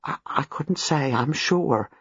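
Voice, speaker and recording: A man's voice: medium pitch, sing-song, unhurried, slightly rough, dry recording, lo-fi sound, full character voice. A man voicing a nervous, apologetic older female former servant, hesitant and deferential in an audiobook, with rambling rustic turns of phrase. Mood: flustered, reluctant. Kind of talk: audiobook character dialogue.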